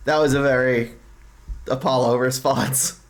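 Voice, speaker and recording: A man's voice: mid-range voice, fairly even intonation, measured pace. A man doing casual game commentary as a streamer, chatting over game music. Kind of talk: casual game commentary with read-aloud dialogue. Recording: music under the voice.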